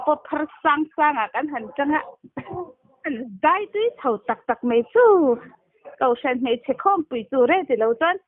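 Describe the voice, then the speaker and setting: A woman chatting casually on a phone call, heard over a tinny, narrow telephone line. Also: high-pitched. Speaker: phone caller.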